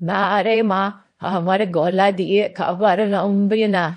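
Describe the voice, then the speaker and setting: A woman's voice: medium pitch, fairly even intonation, unhurried, a little mellow. A woman voicing a worried elderly village mother in a Bengali cartoon.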